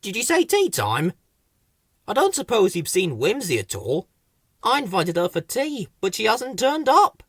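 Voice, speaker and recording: A woman's voice: medium pitch, huge pitch swings, a little slow, husky, no echo, pitched above the performer's own voice, exaggerated voice. A woman voicing a worried, kindly little creature with an anxious, polite manner in a children's story. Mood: wondering.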